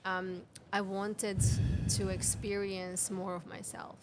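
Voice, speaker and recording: A woman in her thirties speaks English with a Serbian accent, her second language after Serbian. She talks at a middle pitch in an earnest, explaining way, stacking up rhetorical questions and making small grammar slips. Faint background noise sits under her voice in a cafe. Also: level tone, slow pace. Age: 30s. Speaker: guest, women's health mentor. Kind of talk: conversational podcast interview.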